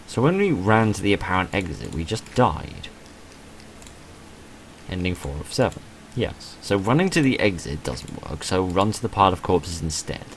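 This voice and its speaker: Man, deep voice, casual let's-play commentary, understated and measured delivery, some background noise. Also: very animated, gravelly. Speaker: video game let's-player and reviewer. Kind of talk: casual game playthrough commentary, reading text aloud and reviewing.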